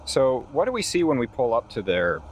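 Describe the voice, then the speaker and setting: A man improvising as a tabletop game player, talking deliberately with some hesitation. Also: mid-range voice, very animated, slight background noise. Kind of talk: improvised actual-play game talk.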